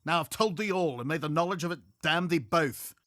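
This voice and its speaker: A man's voice: on the high side, a little fast, a bit bright, dry recording, studio quality, lightly acted. A man playing an angry, cornered sailor in an audio play, growling his grudging replies in archaic speech. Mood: grudging, bitter. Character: angry cornered male pirate-era sailor, gruff and grudging.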